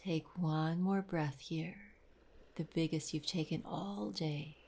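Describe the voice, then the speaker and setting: A woman leading a guided meditation in a soft, soothing, breathy voice with gentle pauses. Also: low-pitched, steady tone, a little hiss or hum. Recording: voice over clear wave sounds. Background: ocean waves.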